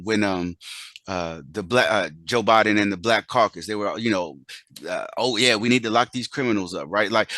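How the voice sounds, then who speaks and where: A man with a lowish voice, recorded close and dry, hosts a casual interview. He searches for words, starting and restarting his questions before landing them. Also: a bit bright, dry recording. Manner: halting, searches for words, rephrases repeatedly. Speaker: interview host.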